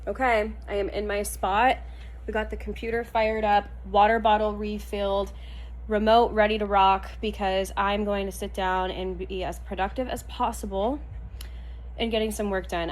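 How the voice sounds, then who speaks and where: A woman's voice: mid-range voice, slight background noise, low-quality recording. A young woman talking casually to camera at home, chatty and upbeat, a bit nasal from a cold. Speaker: vlogger. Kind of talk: casual vlog talk to camera.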